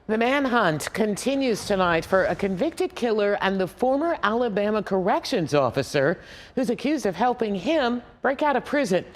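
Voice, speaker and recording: A woman's voice: medium pitch, expressive, normal speed. A woman reading scripted TV news as an anchor.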